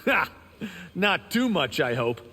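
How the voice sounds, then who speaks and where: A man's voice: fairly high pitch, sing-song, takes it slowly, bright, forceful tone, husky, exaggerated voice. An actor playing a proud, boastful young male martial arts champion in a video game, with a smug, self-assured, grand delivery. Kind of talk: video game character dialogue.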